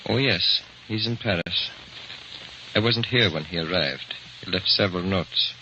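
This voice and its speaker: Grave knowing gentleman, deep voice, measured delivery, vintage radio drama, muffled and hissy. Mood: grave, pointed. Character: grave, composed man coolly breaking grim news. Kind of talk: 1930s-40s radio acting. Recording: muffled old radio recording, heavy hiss.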